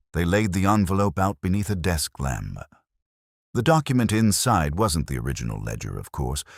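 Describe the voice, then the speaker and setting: A man narrating a mystery story in a measured, scripted storytelling delivery. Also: low voice, vocal fry, no echo, studio quality.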